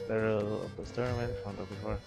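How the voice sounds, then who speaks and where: Low-voiced Filipino man streaming a game. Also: little pitch movement, quick pace, vocal fry, loud music behind the voice. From Philippines. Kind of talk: casual game commentary.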